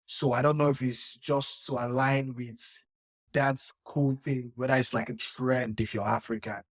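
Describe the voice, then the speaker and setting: A young man from Nigeria co-hosts a casual podcast, speaking in an earnest, reflective way and leaning on "like" as he thinks. His voice sits at a middling pitch. The recording is dry and close, with no room sound. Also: little pitch movement, moderate pace, slightly rough, dry recording. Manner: earnest, reflective, many "like" fillers. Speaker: podcast co-host. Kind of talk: casual chat.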